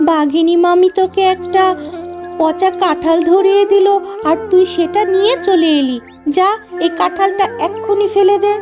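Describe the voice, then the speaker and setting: High-voiced, caring cartoon animal mother voiced by a woman. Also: steady tone, normal speed, over music. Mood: exasperated. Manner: coaxing, motherly. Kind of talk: children's cartoon story acting.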